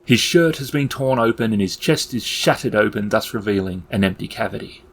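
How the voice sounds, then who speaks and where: A man narrating a tabletop game as game master in a measured, dramatic storytelling manner. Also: on the low side, lively intonation.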